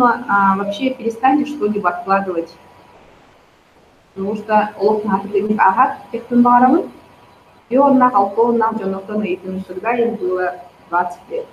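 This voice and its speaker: A woman, persuasively pitching network marketing straight to her viewers. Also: background noise. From Yakutia. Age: 30s. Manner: persuasive, addresses viewers directly. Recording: roomy, slightly dull recording.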